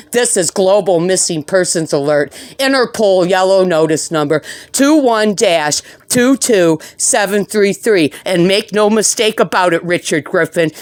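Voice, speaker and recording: A woman's voice: medium pitch, no echo. A woman leaving an angry voicemail complaint as a tenant, speaking in a combative, emphatic and indignant manner with blunt profanity.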